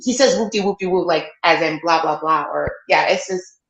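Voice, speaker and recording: A woman's voice: sits low, moderate pace, vocal fry, a bit bright, highs cut off. Give me a chatty woman co-hosting a sports podcast, recorded in an echoey room. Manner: chatty, plugs events with loose asides. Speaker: podcast co-host. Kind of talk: casual sports podcast chat. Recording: roomy echoey sound.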